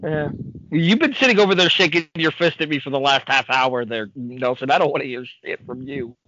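A man's voice: a little high, sing-song, brisk, creaky, bright, forceful tone, no echo, highs cut off. A man co-hosting a podcast in casual banter, often interjecting and teasing the others. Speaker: podcast co-host.

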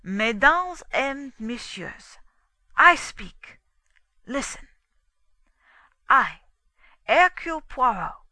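Woman voicing a commanding, theatrical male detective, bright and forceful. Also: on the high side, no room sound, pitched above the performer's own voice, heavily acted. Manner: deliberate, pointed, dramatic pronouncements. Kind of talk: dramatic audiobook character dialogue.

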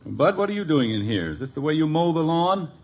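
A man's voice: on the high side, very animated, brisk. An actor playing a wry, teasing middle-aged father in a 1950s radio sitcom. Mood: dry sarcasm. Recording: dull vintage broadcast, studio audience noise.

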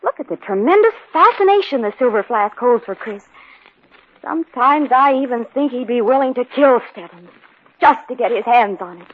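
An actress playing an anxious wife, hesitant and expressive, in 1950s radio drama style. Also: female voice, fairly high pitch, moderate pace, lightly acted. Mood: worried. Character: anxious young wife fearing for her husband. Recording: tinny, noisy old radio recording.

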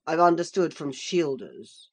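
A woman's voice: low voice, very animated, unhurried, a little mellow, heavily acted. A woman doing a pompous, blustering old magistrate. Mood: disapproving. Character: pompous elderly gentleman magistrate.

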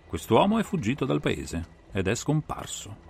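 A man playing a brilliant, self-assured detective who explains a case in a measured, didactic, theatrical way for a dramatised audiobook. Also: mid-range voice, wildly swooping pitch, gravelly, very noisy. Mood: explanatory, deliberate. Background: music.

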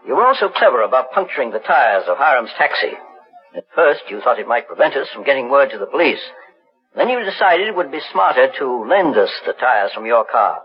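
An actor playing a shrewd, authoritative older private investigator in a deliberate 1940s radio drama style. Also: male voice, mid-range voice, sing-song. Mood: stern, accusing. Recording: dull, husky vintage 1940s radio recording.

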